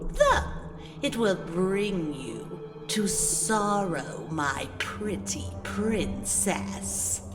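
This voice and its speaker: A woman playing a sinister, mocking sea witch in an audio drama, with a theatrical, drawn-out, condescending delivery. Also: mid-range voice, heavily acted. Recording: long cavernous reverb.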